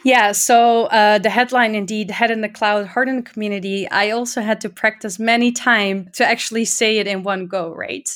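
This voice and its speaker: A woman who grew up in the Netherlands speaks as a podcast guest, eager and warm in her phrasing. She often stops to add "right?" and fills short pauses with "uh". Her voice sits at an ordinary pitch for a woman. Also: fairly even intonation, smooth. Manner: enthusiastic, often adding "right?" and "uh". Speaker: podcast guest, community organizer. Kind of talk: conversational interview.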